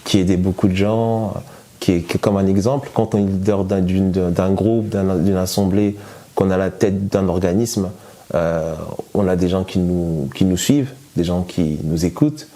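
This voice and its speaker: Deep-voiced man, halting testimony. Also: fairly even intonation, mellow. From France. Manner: hesitant, many fillers and restarts. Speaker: guest giving testimony. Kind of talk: conversational personal testimony.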